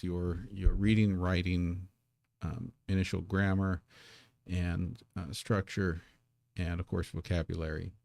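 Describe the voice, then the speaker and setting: A man's voice: deep voice, darkish tone, dry recording. A man who is a native English speaker, teaching a live Korean lesson at his streaming desk in a casual, self-deprecating way, repeating words as he sets up.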